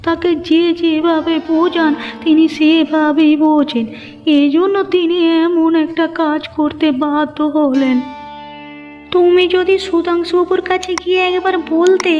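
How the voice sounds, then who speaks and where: Actress playing cartoon village woman, extremely high voice, plaintive, fault-finding, slightly muffled recording. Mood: worried complaining. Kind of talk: Bengali moral-story cartoon dubbing.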